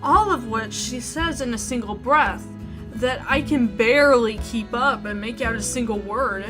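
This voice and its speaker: Female game streamer, slightly high voice, reading story text aloud, casual reactions, background music. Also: unhurried. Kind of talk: let's play commentary, reading visual novel narration aloud.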